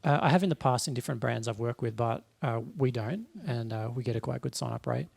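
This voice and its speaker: A man with a mid-range voice and a gravelly creak speaks as a guest on a panel. His delivery is casual and matter-of-fact, laying out practical explanations. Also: mid-range voice, fast talker, darkish tone. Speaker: panelist, eCommerce marketer. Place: live event in front of an audience.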